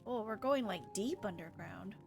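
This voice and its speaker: A woman streaming a video game live, thinking aloud in casual, chatty commentary that turns excitable. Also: mid-range voice, moderate pace, vocal fry, soft background music.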